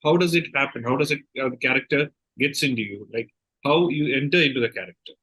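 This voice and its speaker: A male interviewer speaks in a medium-pitched voice. The sound is dry, with little room echo. He is conversational and slightly tentative, rephrasing as he goes. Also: fast, a bit bright, breathy edge.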